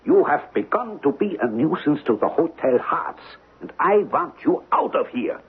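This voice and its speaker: A stern hotel manager in an old radio play, scolding and unyielding. The voice is very hoarse and rasping, rather high, with stiff formal diction. The sound is thin and tinny. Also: male voice, sing-song, normal speed, full character voice. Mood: curt, threatening. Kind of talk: old radio drama acting. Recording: tinny band-limited old radio recording.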